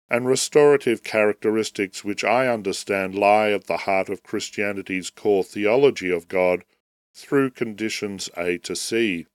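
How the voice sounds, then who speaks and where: A man with a head cold and a raspy, nasal voice, hosting a podcast and reading a prepared theology essay in a measured, formal way. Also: lowish voice, no echo, clean studio sound. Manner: measured, formal, deliberate delivery. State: tail end of a head cold. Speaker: podcast host.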